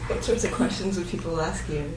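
A woman's voice: lowish voice, fairly quick, far from the mic. A woman working as an interviewer, asking questions in a conversational way. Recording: echoey, noisy room.

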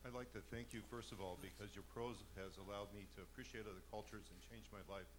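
A man from the audience who asks a slow, halting question with many hesitations. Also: fairly low pitch, steady tone, slight background noise, low-quality recording. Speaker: audience member asking a question.

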